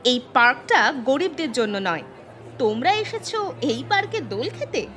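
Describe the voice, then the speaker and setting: A woman's voice: sits high, big pitch swings, normal speed, a bit bright, some chatter behind, a little hiss or hum. A woman voicing a snobbish rich lady who scorns villagers, in a cartoon story. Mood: scornful.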